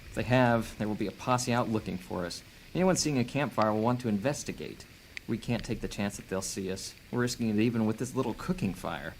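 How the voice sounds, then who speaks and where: A man's voice: on the low side, brisk, slight background noise, close to an everyday voice, rough recording. A man playing a sardonic, resourceful fugitive in a fantasy audio drama, teasing and sarcastic. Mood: wry, matter-of-fact.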